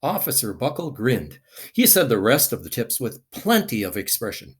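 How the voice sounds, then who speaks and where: Male read-aloud narrator, children's story, dramatic emphasis, lively intonation, slightly rough-edged voice. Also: medium pitch, normal speed.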